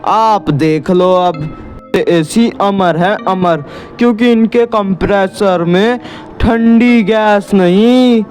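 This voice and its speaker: Ghost salesman for a cartoon horror comedy, male narrator acting: old, eerie, drawn-out patter, pitched up high, music underneath. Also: a little mellow. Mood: ominous boasting. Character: eerie old male ghost shopkeeper peddling air conditioners.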